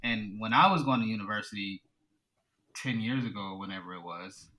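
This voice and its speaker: A man's voice: on the low side, normal speed, pushed and bright. A man in his thirties from the United States, a podcast guest who talks things through thoughtfully and often says "you know". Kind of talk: casual conversation.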